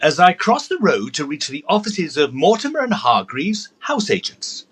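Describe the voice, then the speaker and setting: A man's voice: on the high side, very animated, normal speed, a bit bright, breathy edge, heavily acted. A man playing a fussy, proper Edwardian ghost-hunter, telling his story formally and precisely in an audio drama. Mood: narrating. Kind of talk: first-person period storytelling and audio drama.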